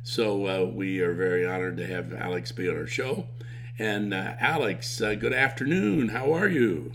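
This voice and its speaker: Male podcast host, gravelly, hesitant with frequent fillers. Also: lowish voice, a little hiss or hum. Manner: halting, many fillers and restarts. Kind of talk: interview podcast.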